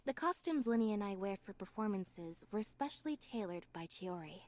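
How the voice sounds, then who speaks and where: A soft-spoken young female magician's assistant from a fantasy game, acted by a woman. She talks in a composed, measured way and keeps her feelings understated and reserved. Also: mid-range voice, lively intonation, natural voice. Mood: matter-of-fact. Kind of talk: scripted video game character voice lines.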